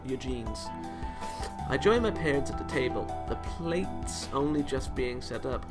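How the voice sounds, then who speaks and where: A man hosting a let's play, reading a visual novel aloud and breaking off into casual, joking asides. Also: mid-range voice. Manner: breaks off into joking, teasing remarks on the story. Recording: game music behind voice. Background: background music from the game.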